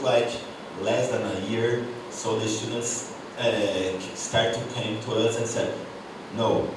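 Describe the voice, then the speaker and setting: Male professor, low voice, halting speech, heard from afar. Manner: halting, many fillers. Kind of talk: panel discussion. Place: university auditorium. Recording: echoey hall, distant mic, noisy.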